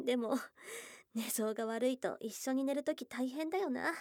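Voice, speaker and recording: Woman playing a young goblin girl for asmr roleplay, high voice, blunt boyish talk, teasing n shy, super dry close mic. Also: measured pace, a little mellow, dry recording. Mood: amused, teasing. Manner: blunt tomboyish speech, teasing and bashful. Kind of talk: intimate ASMR situation voice drama acting. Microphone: close dry studio microphone.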